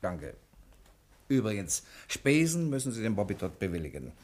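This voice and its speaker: Cocky bank cashier scheming away, lowish voice, really swingy delivery, old 1960 radio crime play. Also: male voice, sing-song, slight background noise. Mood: confident, brisk. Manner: brisk, self-assured, commanding, theatrical. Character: cocky, quick-witted male bank cashier plotting a sham robbery. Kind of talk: 1960 German radio crime drama acting.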